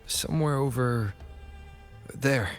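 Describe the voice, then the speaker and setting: A man playing a calm, earnest young adventurer in scripted video game dialogue. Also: medium pitch, takes it slowly, close to an everyday voice. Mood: calm, focused. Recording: game audio under music.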